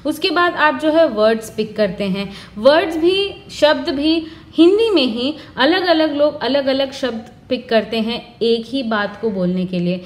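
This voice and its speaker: A woman with a high voice gives career advice to her viewers. She talks in an earnest, instructive way and lays out her points one after another. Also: animated, measured pace, few pauses. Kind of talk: explanatory advice talk.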